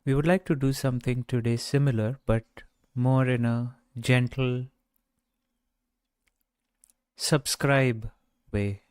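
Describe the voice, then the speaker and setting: Slow, smooth-voiced male meditation guide. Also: mid-range voice, fairly even intonation, no room sound, studio quality. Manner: gentle, measured, with long pauses. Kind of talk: guided meditation, unscripted talk.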